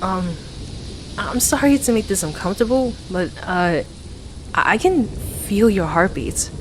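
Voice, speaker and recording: A woman's voice: medium pitch, expressive, measured pace, background noise, lightly acted. A woman playing a playful, affectionate young best friend with a hesitant, earnest way of talking, in an ASMR roleplay audio. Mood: shy, tender.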